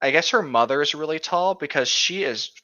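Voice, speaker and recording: A man's voice: fairly high pitch, expressive, fairly quick, pushed and bright. A young man co-hosting in casual, sarcastic, profane banter, recorded on a lo-fi home mic. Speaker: co-host reading a book aloud with commentary. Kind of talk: casual joking chat.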